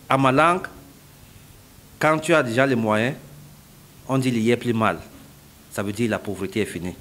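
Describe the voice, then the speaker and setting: A man's voice: lowish voice, slight background noise. Cameroonian man explaining at length. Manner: explanatory, repeats phrases for emphasis. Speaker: interviewee, company founder. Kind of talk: interview answers.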